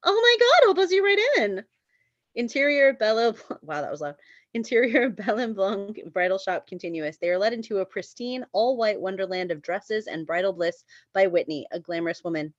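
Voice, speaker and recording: A woman's voice: mid-range voice, brisk, no echo, dull audio. A woman narrating a screenplay's stage directions for a table read.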